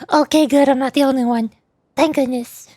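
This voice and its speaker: A woman's voice: high voice, fairly even intonation, moderate pace, mellow, no room sound, studio quality. A woman livestreamer chatting casually in a playful, sleepy manner. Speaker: VTuber streamer voice-acting game dialogue.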